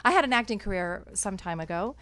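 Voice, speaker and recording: A woman chatting as a guest on an interview show, bubbly and quick to laugh. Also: medium pitch, animated, fairly bright tone, slight background noise. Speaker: guest dialogue coach. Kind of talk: casual interview chat.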